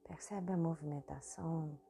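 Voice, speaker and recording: A woman with a Brazilian accent hosting a guided meditation, giving calm instructions in short phrases with long pauses between them. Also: low voice, gravelly. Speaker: guided meditation podcast host. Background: meditation music.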